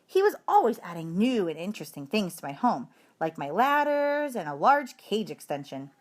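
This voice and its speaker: Female storybook narrator, medium pitch, very animated and expressive. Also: normal speed. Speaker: story reader.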